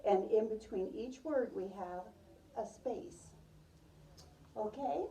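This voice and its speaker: A woman reads a picture book to small children in the manner of a preschool teacher, checking in with questions. Her voice is very soft-edged and dark, fairly high, and unhurried. It is picked up from a distance in a roomy space. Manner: addresses listeners as young children, repeats their answers. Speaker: preschool teacher reading aloud. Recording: roomy, distant microphone, muffled top end.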